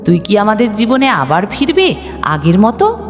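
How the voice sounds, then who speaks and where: A woman's voice: mid-range voice, wildly swooping pitch, moderate pace. A woman reading the storybook lines of a regretful young man mourning a lost friendship, in a solemn, remorseful way. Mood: pleading. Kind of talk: storybook dialogue. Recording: long reverb, music underneath.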